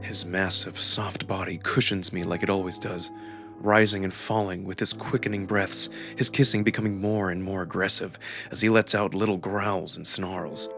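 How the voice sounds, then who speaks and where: Low-voiced man narrating over music. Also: fairly even intonation, moderate pace. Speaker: narrator and performer of a visual novel. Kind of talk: dramatic first-person scripted narration.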